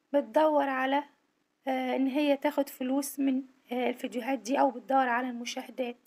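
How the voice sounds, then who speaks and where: A woman with an Egyptian accent, a social worker, speaking to camera from home with a tired, strained voice in an earnest, indignant appeal. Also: sits high. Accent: Egyptian Arabic. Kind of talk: monologue to camera.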